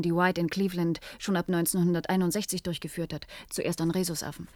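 A woman's voice: mid-range voice, no room sound, close to an everyday voice. An actress playing a thoughtful female cybernetics scientist in a German science fiction radio play, hesitantly explaining and thinking aloud.

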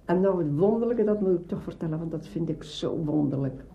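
An old man in his eighties, with an accent from the Netherlands, tells anecdotes with theatrical relish and acts out the dialogue. His voice sits fairly high. The archive interview sound is dull, with faint noise behind it. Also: animated, brisk, creaky. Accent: Dutch from the Netherlands. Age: 80s. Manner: juicy, theatrical storytelling with acted-out dialogue. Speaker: veteran radio drama actor being interviewed. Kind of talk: conversational anecdote telling.

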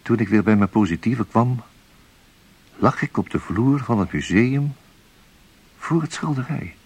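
Haunted narrator, husky, hushed, very slow, dazed, radio drama. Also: male voice, mid-range voice, lively intonation. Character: dazed, horrified man recounting a nightmare. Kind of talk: first-person radio play narration.

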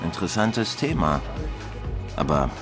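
A very low-voiced tipsy male party host in a radio play. He is shy but quietly challenging, with clear music behind him. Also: very animated, unhurried, full character voice. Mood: needling. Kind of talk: radio drama dialogue.

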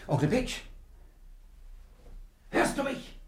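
An actor playing a desperate, sly but fond nephew on the run in a radio play, muttering to himself in agitation. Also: male voice, slow pace, natural voice. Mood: nervous, muttering. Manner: agitated, muttering, mock-tender.

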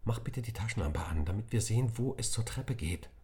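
A man's voice: on the low side, lively intonation, brisk, warm tone, pitched above the performer's own voice, lightly acted. A man reading a storybook, voicing a polite, practical young schoolgirl.

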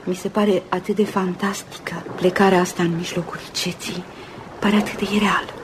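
An actress playing a fragile, wistful young woman in love in a Romanian radio play, soft and dreamy. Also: on the low side, level tone, background noise, lightly acted. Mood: wistful, tearful. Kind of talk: Romanian radio theatre acting.

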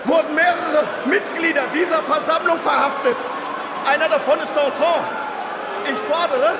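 An actor playing a big, agitated male deputy shouting urgently in a radio play. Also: very high-pitched, steady tone, moderate pace, very noisy. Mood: defiant, demanding. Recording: very loud crowd uproar behind.

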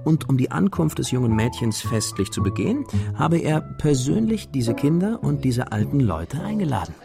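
An actor playing an adventurous young schoolboy hero, narrating his memories in a measured, literary radio drama style. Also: medium pitch, big pitch swings, vocal fry. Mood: measured wonder. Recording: studio radio drama with music behind. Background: music.

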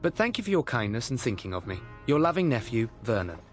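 An actor playing an earnest, dreamy young would-be composer in a radio drama, fervent and self-deprecating. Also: male voice, mid-range voice, big pitch swings, quick pace, faint music behind, close to an everyday voice. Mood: apologetic. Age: young adult.

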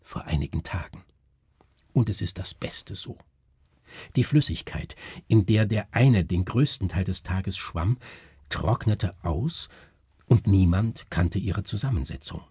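A man voicing a haunted, brooding doctor in a gothic story, husky, halting and intense. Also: low-pitched, lively intonation, warm tone, dry recording, clean studio sound, full character voice. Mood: grim. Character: haunted, brooding male doctor recounting horrors.

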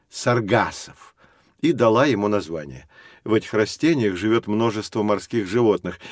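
An actor playing a friendly professor in an educational audio drama, explaining things expressively to children. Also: male voice, on the low side, no echo, clean studio sound, lightly acted. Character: friendly male professor guiding children through geography.

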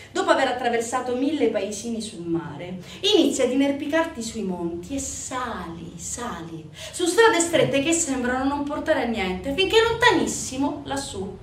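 Echoey, animated woman narrating a stage monologue. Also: on the high side. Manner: emphatic storytelling with deliberate pauses and repetitions for effect. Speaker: stage actress performing a theatre monologue. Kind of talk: dramatic scripted theatrical narration. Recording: echoey room from a distance, faint noise.

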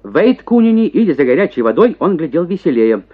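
Guy narrating a classic short story, actorly and lively, bit dull muffled sound. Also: a little high, fast. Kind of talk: expressive literary story narration.

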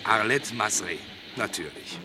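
An actor playing a confident police inspector in a German crime radio drama, clipped and matter-of-fact. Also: male voice, fairly low pitch, animated, unhurried, background noise. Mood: watchful, focused. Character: brisk, confident police inspector running a stakeout.

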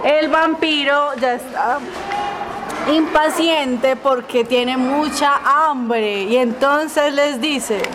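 A woman's voice: high-pitched, extremely slow. A woman narrating an improvised children's radio drama with suspense and prompting the players. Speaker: narrator and facilitator. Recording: very noisy room, loud group behind.

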